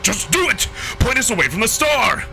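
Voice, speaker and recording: Man playing a gravelly, urgent alien wolf man. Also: extremely high pitch, very animated, moderate pace, music in the background, voice pushed up, exaggerated voice, low-quality recording. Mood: urgent, barking. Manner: snarling, barking orders, urgent. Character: gruff, commanding alien wolf man, desperate and hot-tempered. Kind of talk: dramatic visual novel character performance.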